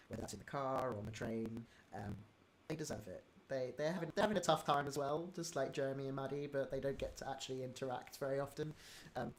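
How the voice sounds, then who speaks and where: A man of middle pitch hosts a relaxed livestream question-and-answer session over a video call. He talks loosely, stumbling through ums and restarts as he gushes about the cast. A faint hiss of noise sits under his voice. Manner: hesitant, lots of ums and restarts, enthusiastic praise. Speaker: livestream host running a cast Q&A. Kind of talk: casual livestream chat.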